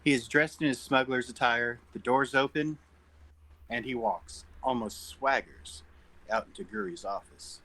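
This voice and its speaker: A man's voice: medium pitch, measured pace, sharp tone, low-quality recording. A man reading stage directions aloud as narrator in an informal script table read.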